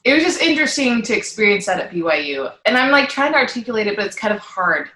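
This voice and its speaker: Interview guest: American woman, bright forward voice, roomy echo, reflective and informal, halting as she looks for the right words. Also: on the high side, sing-song. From Utah, United States. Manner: halting, searching for words, frequent "like". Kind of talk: casual, reflective personal conversation. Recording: echoey, roomy sound.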